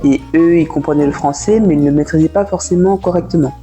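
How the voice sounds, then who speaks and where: A woman's voice: deep voice, fast, warm tone, faint music behind. A woman in her thirties from France, with a French accent from France, answering as a guest in a casual interview. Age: 30s. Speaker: guest, café owner.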